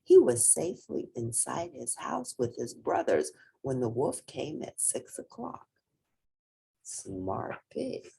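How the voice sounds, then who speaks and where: A woman reading a children's story aloud expressively, with drawn-out emphasis and playful asides. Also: very deep voice, wildly swooping pitch, gravelly, mellow, breathy edge, low-quality recording.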